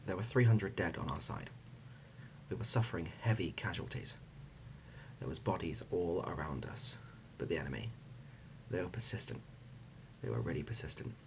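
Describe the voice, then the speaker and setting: Low, creaky deadpan dad played by a man. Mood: grave reminiscing. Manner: halting, deadpan, often drops to a whisper. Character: deadpan absurd dad, gently reminiscing and insistent. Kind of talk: ASMR comedy roleplay. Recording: dull lo-fi audio, loud background noise.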